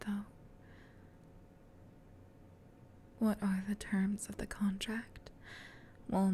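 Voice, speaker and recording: Slow whispering gentle demon played by a woman. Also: mid-range voice, slight background noise. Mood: gentle, explaining. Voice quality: soft breathy whisper. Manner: soothing, tender, reassuring, unhurried. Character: gentle, protective female sleep paralysis demon comforting a human. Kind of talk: whispered ASMR comfort roleplay.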